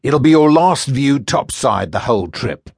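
A man's voice: mid-range voice, very animated, creaky, husky, no room sound, clean studio sound, voice pushed up, full character voice. Man doing a sneering wolfish first mate. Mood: taunting. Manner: taunting, mocking, drawn-out menace. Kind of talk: audiobook character voice.

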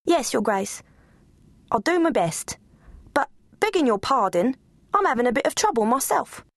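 A woman's voice: sits high, very animated, moderate pace, dry recording, voice pushed up, heavily acted. A woman voicing a harried, middle-aged female cook who is deferential yet grumbling.

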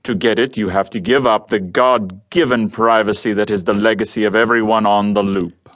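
An actor playing a huge, quietly menacing male crime boss in an audio drama, with a rumbly voice and measured, formal speech. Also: fairly even intonation, lightly acted. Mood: philosophical, measured.

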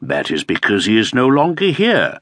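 A man's voice: on the low side, wildly swooping pitch, moderate pace, fairly bright tone, dry recording, clean studio sound, voice pushed up, exaggerated voice. A man voicing a put-upon, aging Elizabethan earl who speaks in a plaintive, complaining way. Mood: aggrieved.

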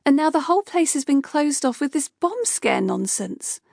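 A woman voicing Kay, a sharp outspoken young woman. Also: high voice, expressive, moderate pace, gravelly, no echo, studio quality, pitched above the performer's own voice, heavily acted. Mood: scolding. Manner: forceful, scolding, exasperated.

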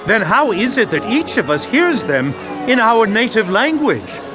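A man's voice: sits high, big pitch swings, measured pace. An actor playing an astonished male pilgrim, exclaiming emphatically in a dramatized audio Bible. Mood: amazed, bewildered. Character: astonished man among pilgrims, exclaiming in wonder. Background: music.

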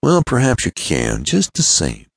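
A man's voice: mid-range voice, huge pitch swings, unhurried, creaky, breathy edge, no room sound, clean studio sound, pitched above the performer's own voice, exaggerated voice. A man voicing an earnest, confident young male inventor in an adventure storybook, explaining things keenly. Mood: confiding. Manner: explanatory, keen.

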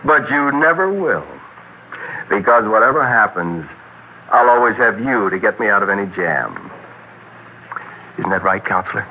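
Swaggering crook on tinny old radio. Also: male voice, lowish voice, sing-song, normal speed, pauses often, slight background noise. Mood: taunting, demanding. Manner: taunting, swaggering, bossy. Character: cocky, menacing spoiled crook, smooth-talking bar owner. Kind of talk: 1960s radio drama acting.

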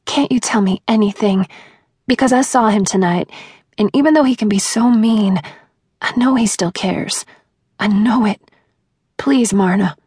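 A woman's voice: medium pitch, moderate pace, a little mellow, breathy edge, no echo, clean studio sound, voice pushed up, close to an everyday voice. A woman voicing an earnest, anxious young woman in an audiobook, pleading and persistent in an urgent manner. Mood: desperate, pleading.

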